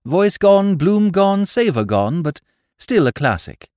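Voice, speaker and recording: A man voicing a lively, emphatic young English lord in an audiobook, with an upper-class English accent and clipped, exclamatory phrases. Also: high voice, takes it slowly, dry recording, studio quality, pitched above the performer's own voice, exaggerated voice. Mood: eager, animated. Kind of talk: audiobook character voice.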